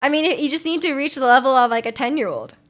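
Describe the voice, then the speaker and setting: A woman's voice: sits high, quick pace. A woman in her twenties, a native Japanese speaker, chatting casually to livestream viewers in a giggly, rambling, self-deprecating way. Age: 20s.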